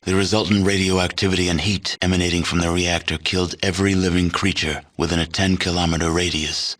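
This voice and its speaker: A man playing a grave, solemn narrator of a post-apocalyptic video game, telling the tale in measured, deliberate scripted narration. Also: low voice, little pitch movement, a bit bright.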